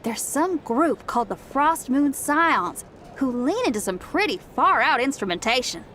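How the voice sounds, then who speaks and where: A woman voicing an upbeat young female rock musician who talks in a slangy, energetic, laid-back way, in video game dialogue. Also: high-pitched, normal speed, a little hiss or hum, heavily acted.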